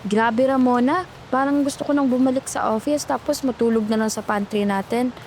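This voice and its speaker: A woman's voice: on the high side, steady tone, normal speed, darkish tone, a little hiss or hum, close to an everyday voice. A woman acting as an exhausted young call center agent, grumbling and cautious, in a horror story. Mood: weary. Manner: weary, chatty, grumbling.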